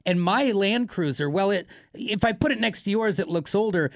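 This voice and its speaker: A man's voice: high-pitched, lively intonation, moderate pace, no echo, studio quality. A man hosting a podcast in casual chat, easygoing and joking, who explains things at length.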